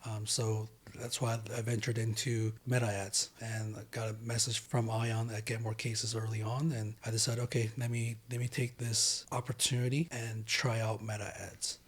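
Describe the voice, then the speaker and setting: Flat-voiced man from Taiwan. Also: fairly low pitch, normal speed, hardly any pauses. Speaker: law firm owner, guest. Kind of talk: casual interview chat. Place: podcast studio.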